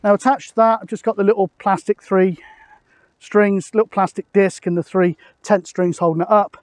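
A man's voice: sits high, little pitch movement, normal speed, dry recording. A British man in his forties chatting matter-of-factly as he explains things in a casual vlog, recorded outdoors on an open hilltop. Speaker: radio hobbyist vlogger.

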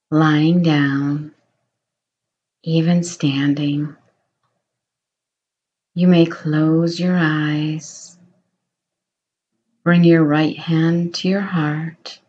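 A woman guiding a meditation in a slow, soothing voice, offering gentle reassurance. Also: low voice, highs cut off. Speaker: meditation guide. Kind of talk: guided meditation.

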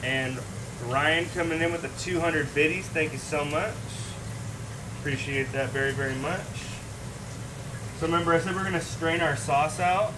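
A man's voice: a little high, normal speed, distant mic, background noise. A young man hosting a casual live-stream cooking show in his home kitchen, chatting in slang to his viewers as he cooks. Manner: laid-back, slangy, talks to chat while cooking. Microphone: room mic away from the speaker. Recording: roomy kitchen sound from off-mic, loud sizzle. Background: frying and sizzling pans.

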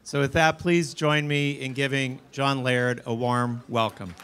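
Guy at a podium mic introducing the keynote, friendly, bit halting, chuckles here and there. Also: medium pitch, steady tone, a bit bright, a faint crowd, a little hiss or hum. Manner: friendly, slightly halting, chuckles between facts. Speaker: event host introducing speaker. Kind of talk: speaker introduction. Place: large banquet hall. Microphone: podium microphone.

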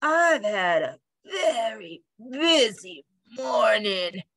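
A slangy young dog dude from a children's storybook, as a woman acts him out. He is laid-back and drawls his words slowly, with pitch that slides up and down a lot. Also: big pitch swings, fairly bright tone, no echo, heavily acted. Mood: sleepy, reluctant.

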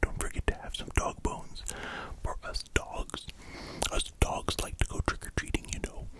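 A performer voicing a playful, gently menacing vampire dog count in a slow, repetitive ASMR whisper, close to the microphones. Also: lightly acted. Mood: playful, soothing. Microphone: close pair of microphones.

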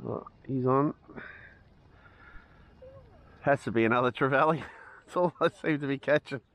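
A man's voice: mid-range voice, unhurried, fairly bright tone. A middle-aged Australian man giving casual commentary as he fishes from a beach, cheerful and chuckling often, recorded outdoors on a head-mounted action camera. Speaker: fishing vlogger. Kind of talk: casual running commentary while fishing. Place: outdoors on a sandy beach by the water.

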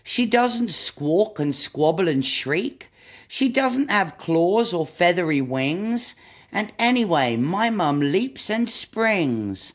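Woman voicing an exasperated lost little monkey, lively pitch. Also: slow pace, heavily acted. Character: lost, upset young monkey, protesting and exasperated. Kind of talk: storybook read-aloud.

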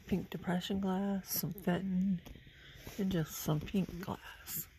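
A woman with a hoarse, flu-worn voice gives casual running commentary while browsing a large indoor antique mall, filmed on a handheld phone. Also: fairly even intonation, takes it slowly, warm tone. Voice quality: gravelly, low-energy, half-whispered asides. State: hoarse from the flu, losing her voice. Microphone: handheld phone camera. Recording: noisy shop ambience behind phone audio.

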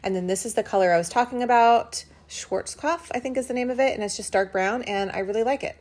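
A woman's voice: fairly high pitch, fast talker, a little mellow. A woman talking casually through a shopping haul in voice-over, upbeat and rambling with fillers, recorded at home. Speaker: vlogger. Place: home, washing machines running.

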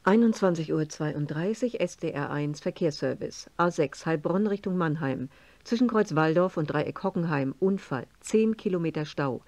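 An actress playing a radio announcer, reading a formal traffic bulletin in a matter-of-fact way. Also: female voice, sits low, animated, normal speed, darkish tone. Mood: neutral.

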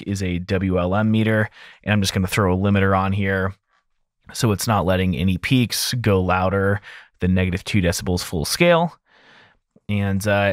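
A man's voice: low-pitched, no echo. A man in his thirties giving a casual, conversational audio mixing tutorial as host, speaking into a close studio microphone. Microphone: close broadcast mic on arm.

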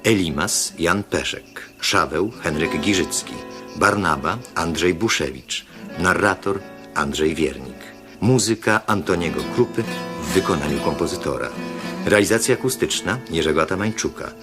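A man working as a radio announcer, reading scripted credits formally and deliberately. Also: sits low, animated, music in the background.

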